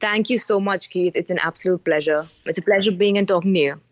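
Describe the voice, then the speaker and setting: A woman's voice: lowish voice, quick pace, dry recording. A young woman from India, a singer-songwriter, chatting frankly and enthusiastically as an interview guest over a muffled line. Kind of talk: casual interview chat.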